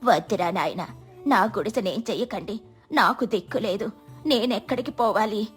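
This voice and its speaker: A woman's voice: mid-range voice, moderate pace, slightly rough, faint music behind. A woman voicing a kindly, scolding old village healer in a moral story, motherly and pleading. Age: older.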